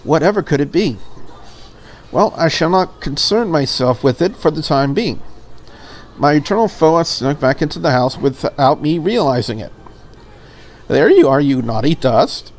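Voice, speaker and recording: A man's voice: a little high, lively intonation, moderate pace. A man voicing a polite, curious, slightly lonely maid in a visual novel, with formal and prim phrasing. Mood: gently chiding.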